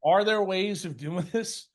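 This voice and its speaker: A man's voice: high voice, slow. A man lecturing off the cuff in answer to a question, blunt and confrontational, swearing freely and scolding with rhetorical questions. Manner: uses mocking imitations and rhetorical questions. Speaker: speaker leading a question session.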